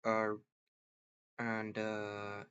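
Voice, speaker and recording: A man hosting a casual, unscripted vlog, talking haltingly and speaking straight to a chatbot. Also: lowish voice, completely flat delivery, a bit bright, clear, resonant tone, no room sound, highs cut off. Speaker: host of an online character-chatbot video.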